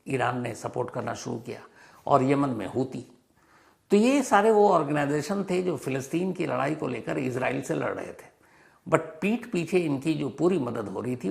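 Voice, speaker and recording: A man hosting a storytelling explainer, speaking in a dramatic, emphatic way and repeating phrases for effect, with a gravelly voice. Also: mid-range voice, expressive, normal speed. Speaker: crime and history show host.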